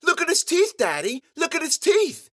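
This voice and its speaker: Man putting on a shrill, bloodthirsty little girl. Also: huge pitch swings, normal speed, a bit bright, exaggerated voice. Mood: excited, demanding. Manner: babbling, giggly, repetitive and insistent. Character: bloodthirsty, squealing little girls, gleefully creepy.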